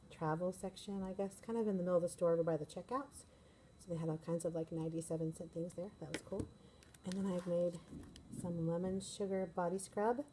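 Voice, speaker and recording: Young woman teacher, casual vlog delivery, slightly hoarse, chatty and upbeat, lower pitch. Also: dark tone, slight background noise, low-quality recording. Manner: chatty, upbeat, rambling with fillers. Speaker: first grade teacher, vlogger. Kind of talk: casual vlog chat to camera.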